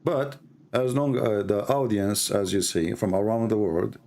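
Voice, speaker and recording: Gravelly man with an Arabic accent. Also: fairly low pitch, unhurried, mellow. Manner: insistent, repeats points, asks for agreement. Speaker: show host. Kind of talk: live call-in debate.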